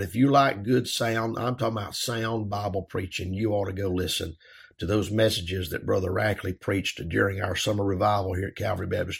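A man, a pastor hosting his own podcast, talking earnestly with a dry, raspy throat and plenty of "uh" fillers. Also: lowish voice. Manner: earnest, folksy, frequent "uh" fillers. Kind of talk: casual podcast monologue.

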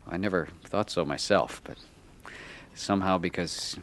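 A man's voice: sits low, slow, fairly bright tone, slight background noise. An actor playing an earnest, insecure man struggling with addiction in a radio drama, sometimes hesitant and defensive. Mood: tender. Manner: hesitant, stammering in dialogue. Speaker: lead actor and narrator. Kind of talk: radio drama acting and first-person narration.

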